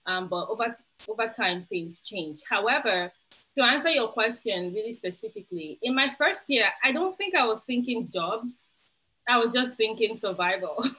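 Nigerian woman, young adult, bright clear tone, encouraging and emphatic, video call audio. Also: a little high, expressive, moderate pace, rough recording. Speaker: webinar panelist.

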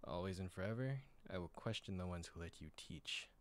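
A man's voice: low voice, brisk, music in the background, close to an everyday voice. A man voicing a cheeky, dramatic, sullen and defiant teenage schoolboy in an anime fan dub. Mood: sardonic.

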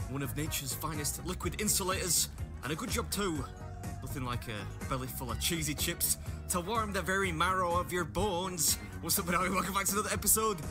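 A man in his thirties with a Northern English accent, narrating a food challenge vlog with dry, self-mocking humor. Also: a little high. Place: car and restaurant.

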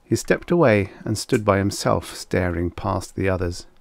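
A man narrating a vintage science fiction story as an audiobook, with measured, deliberate delivery. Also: low voice, expressive, vocal fry, no room sound.

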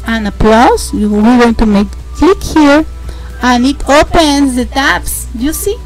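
A young woman with a Mexican accent, a host narrating a casual game tutorial, halting and repeating words as she explains. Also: fairly high pitch, low-quality recording. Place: home, indoors.

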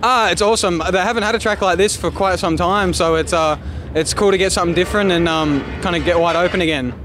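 A man's voice: high voice, fast. A man in his thirties, a race driver, giving a casual interview outdoors in the pits. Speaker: sprint car driver being interviewed. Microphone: handheld microphone held by interviewer. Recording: loud engine noise, outdoor trackside.

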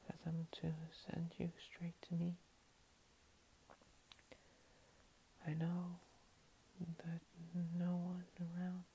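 A woman's voice: on the low side, poor audio. Woman playing a whispering, menacing vampire princess. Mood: cold curiosity. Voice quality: soft, breathy whisper. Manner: slow, teasing, condescending, with lingering pauses. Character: menacing, playful female vampire cat-girl princess, centuries-lived predator. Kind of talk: whispered ASMR roleplay. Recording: steady hiss.

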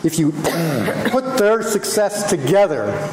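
A man in his sixties, a mayor, reading a proclamation at a council meeting, a bit halting and correcting himself, picked up by a distant camera. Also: fairly high pitch, big pitch swings, darkish tone, slight background noise. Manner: halting, self-correcting, chuckles at his own slips. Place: large formal council chamber, indoors. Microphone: handheld mic, then unamplified, camera across the room. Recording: echoey hall, distant camera.

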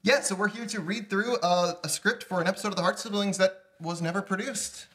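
A man's voice: sits high, fairly quick, fairly bright tone. Young man hosting, casual delivery. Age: young adult. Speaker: show creator, host and script reader. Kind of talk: casual introduction to a table read. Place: small indoor room with shelves and table.

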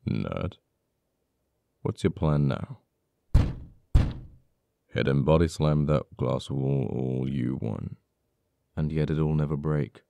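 An actor playing an uptight government official in an ASMR roleplay, cold and teasing toward a captured old friend, speaking deliberately with dramatic pauses. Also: male voice, extremely low pitch, gravelly, dark tone, no echo, exaggerated voice.

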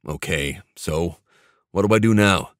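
A man voicing a gruff, low-key, skeptical male character in an audiobook, speaking in a dry, casual way. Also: measured pace, no echo. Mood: skeptical, puzzled.

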